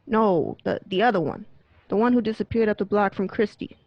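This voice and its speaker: A pushy, tough-talking mobster, played by a woman in a radio crime drama. The pitch is middling for a woman. The sound is dry and lo-fi. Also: fairly quick, no room sound, natural voice. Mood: insistent. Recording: dull lo-fi dry home recording.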